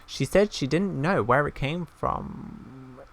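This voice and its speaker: Man reading a solemn, creaky fantasy game elder. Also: fairly high pitch, expressive, takes it slowly, fairly bright tone, exaggerated voice. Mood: solemn, wistful.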